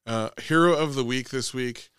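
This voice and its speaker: A man's voice: medium pitch, normal speed, fairly bright tone, clean tone, no echo. A man in his forties co-hosting a podcast, chatting casually and ranting with profanity when angry. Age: 40s. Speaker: podcast co-host. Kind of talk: casual chat.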